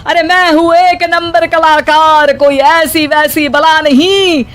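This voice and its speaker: A woman voicing a boastful, scornful elderly mother-in-law in an animated story, declaiming theatrically. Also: high-pitched, slow, pitched above the performer's own voice. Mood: boastful, irritated. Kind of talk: animated story character acting.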